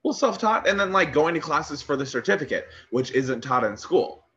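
A man's voice: fairly high pitch, normal speed, muffled recording. Profane young man with a lisp. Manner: profane, laughing, animated. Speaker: podcast co-host. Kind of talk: casual chat.

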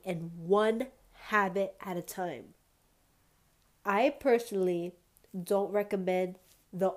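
A woman's voice: medium pitch, darkish tone. A woman hosting her own podcast, talking straight to listeners in an encouraging, motivational way. She sounds a little congested and wheezy. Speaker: podcast host. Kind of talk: solo podcast monologue.

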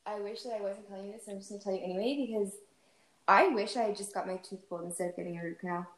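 A woman's voice: mid-range voice, steady tone, racing. A woman from Ontario co-hosting a podcast, chatting bluntly and casually. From Ontario, Canada. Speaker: podcast co-host. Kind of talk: casual chat. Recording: echoey room with faint noise.

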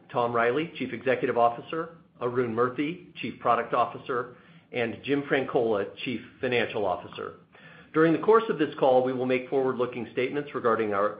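A man in investor relations formally reading a scripted corporate disclosure over a telephone conference line. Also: mid-range voice. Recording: thin telephone-line audio.

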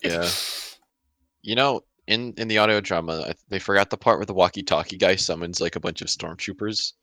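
A man's voice: low voice, fairly quick, sharp tone, no echo. A man talking informally and jokingly as a podcast host in a casual group discussion. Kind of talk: casual group review chat.

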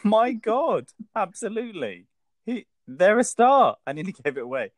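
Lively man, podcast co-host. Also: high-pitched, moderate pace, no echo, studio quality. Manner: enthusiastic, with a playful jokey tone. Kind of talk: casual workplace podcast chat.

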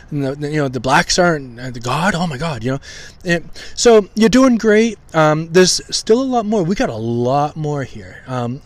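Slightly hoarse man teaching in halting bursts. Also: fairly high pitch. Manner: halting, many ums and restarts, deliberate enunciation. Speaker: podcast host teaching scripture. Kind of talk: informal scripture teaching.

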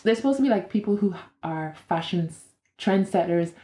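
A young woman co-hosting a casual podcast in Ireland, chatty and quick to laugh, explaining things at length. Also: medium pitch, lively intonation, mellow. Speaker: podcast co-host. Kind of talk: casual chat. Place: room in Ireland.

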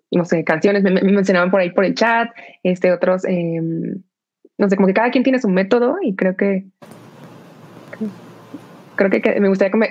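Moderadora titubeante, audio apagado. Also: female voice, mid-range voice, a little mellow, highs cut off. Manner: hesitant, many fillers and restarts. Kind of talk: panel discussion.

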